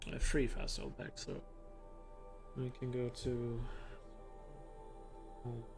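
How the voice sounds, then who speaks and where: A man's voice: medium pitch, flat delivery, slow pace, gravelly, over music. A man with a Danish accent giving casual live-stream commentary as a speedrunner; chatty, he laughs often and swears at his mistakes. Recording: stream mic with game sounds behind.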